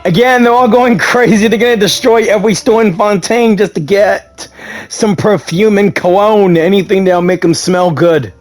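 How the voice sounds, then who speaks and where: A man's voice: high voice, moderate pace. A man giving casual, slangy gameplay commentary, interjecting as a story game plays. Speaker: gamer reading and reacting to a story game. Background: game music.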